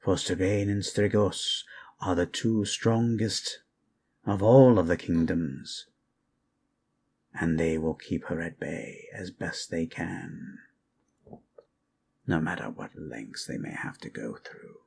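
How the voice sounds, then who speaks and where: A man voicing an ancient, weary undead lich who tells history in a hushed, solemn, gravelly voice for an ASMR roleplay. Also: sits low, a little hiss or hum, full character voice. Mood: solemn, measured. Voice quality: gravelly, creaky. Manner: measured, hushed, solemn, with long pauses. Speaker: storyteller. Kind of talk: ASMR roleplay, slow improvised fantasy history lesson.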